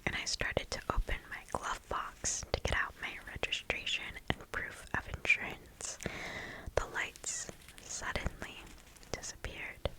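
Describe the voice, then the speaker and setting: A woman reads stories aloud in a soft, unvoiced ASMR whisper. She sits close to the microphone and takes her time, phrasing slowly and deliberately, with pauses between thoughts. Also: low-quality recording. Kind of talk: ASMR whispered story reading.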